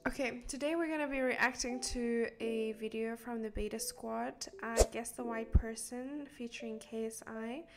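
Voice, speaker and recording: A woman's voice: fairly high pitch, fairly even intonation, normal speed, hardly any pauses, heavy background noise. A woman in her twenties chatting casually as an easily amused reaction host, close to a desk microphone. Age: 20s. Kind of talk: casual chat reacting to a video. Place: small indoor room.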